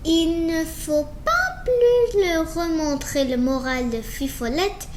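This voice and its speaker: A woman reading a children's story aloud in an animated way, slowly and with small stumbles. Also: extremely high pitch. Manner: slow, halting reading with stumbles. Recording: roomy echo with faint background noise.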